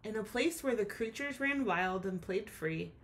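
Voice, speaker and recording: A woman's voice: mid-range voice, expressive, moderate pace. A woman teacher giving a children's read-aloud lesson, speaking expressively and explaining things in simple terms.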